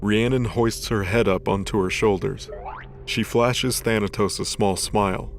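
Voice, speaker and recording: Male narrator, lower register, scripted dramatic storytelling over clear background music. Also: steady tone, a little slow.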